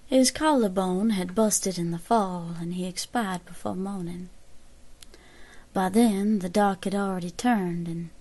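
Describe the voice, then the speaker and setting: An actress playing a grave 1870s American woman survivor, giving a measured, formal account in an audio drama. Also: mid-range voice, dark tone. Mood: somber, regretful. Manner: measured, formal old-fashioned storytelling.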